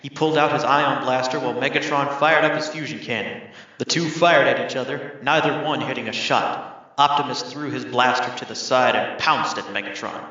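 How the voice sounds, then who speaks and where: A man's voice: mid-range voice, steady tone, normal speed, pushed and bright. A man reading scripted third-person narration for an audio drama. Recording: very echoey, slightly muffled.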